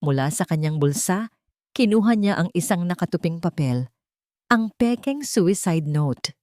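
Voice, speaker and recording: A woman with a fairly low voice tells a dramatic story as its narrator. The delivery is scripted storytelling, and the sound is dry and close. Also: animated, measured pace, a little mellow, no room sound.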